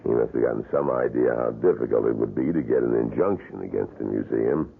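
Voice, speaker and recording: A man's voice: rumbling low, brisk, gravelly, a little hiss or hum, exaggerated voice. An actor playing a wax-museum tour guide with a showman's patter, in a 1970s radio drama heard over a tinny old broadcast. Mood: confiding. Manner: showman patter on tour, confiding when retelling.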